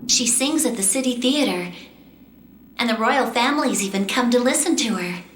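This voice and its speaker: A woman voicing a determined, composed young female magician in a fantasy game, earnest and measured. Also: a little high, animated, background noise, close to an everyday voice. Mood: wistful, reflective. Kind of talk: English-dubbed fantasy game cutscene acting and narration. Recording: roomy echo, game music behind.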